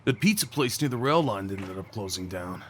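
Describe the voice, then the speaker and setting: A man acting a young small-town guy, an awkward and tender old friend, in a casual, halting, intimate audio roleplay. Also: fairly low pitch, animated, fairly bright tone, a little hiss or hum. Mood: fond, nostalgic. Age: young adult. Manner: halting, stammering, slangy, chuckling.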